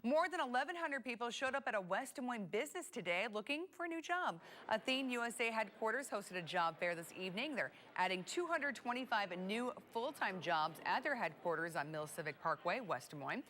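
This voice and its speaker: A woman's voice: a little high, expressive, brisk, hardly any pauses, a bit bright, a little hiss or hum. A woman with an American accent reading scripted television news as an anchor in a studio.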